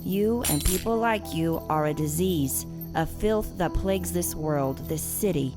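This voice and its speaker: A woman's voice: lowish voice, unhurried, music in the background, lightly acted. A woman playing a self-righteous, menacing killer gardener in an audio drama, theatrical and condescending as she taunts her captive. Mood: cold condescension. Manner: theatrical, condescending, swinging from soft to shouting. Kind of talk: audio drama villain monologue.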